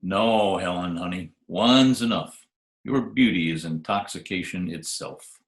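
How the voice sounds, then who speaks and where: A man playing a smooth, wisecracking hardboiled private detective in an old-time radio drama. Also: low-pitched, measured pace. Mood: teasing, suave. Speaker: lead actor and narrator. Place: home, remote video call recording. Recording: roomy, echoey remote home recording.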